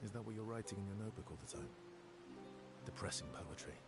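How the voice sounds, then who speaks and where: An actor playing a wry, teasing, warm-hearted male adventurer with dry, playful banter in a naturalistic video game cutscene. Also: on the low side, quick pace, natural voice. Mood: wry, curious. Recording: loud music behind the voice.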